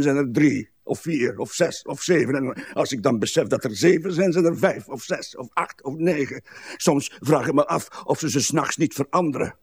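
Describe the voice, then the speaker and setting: An actor playing a grumpy, pedantic old husband, bitter and weary, in a radio play. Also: male voice, mid-range voice, husky, dry recording, clean studio sound. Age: older. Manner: irritable, complaining, pedantic.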